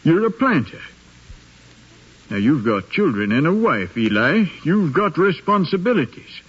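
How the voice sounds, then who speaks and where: A man's voice: fairly high pitch, big pitch swings, measured pace, husky, heavily acted. An actor playing a stern, settled older planter father in a 1950s radio drama, admonishing and emphatic. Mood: stern disapproval. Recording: dull 1950s radio recording.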